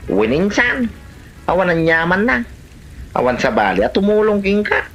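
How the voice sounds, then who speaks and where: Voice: medium pitch, very animated, moderate pace, a bit bright, faint music behind. An actor playing a meddling, plain-spoken relative in an Ilocano comedy drama, with a Filipino Ilocano accent and a nagging manner.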